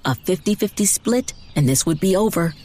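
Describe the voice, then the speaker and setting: A woman reading dramatized fiction narration in a measured way with deliberate emphasis. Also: fairly low pitch, lively intonation, warm tone, slight background noise. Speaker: audiobook narrator.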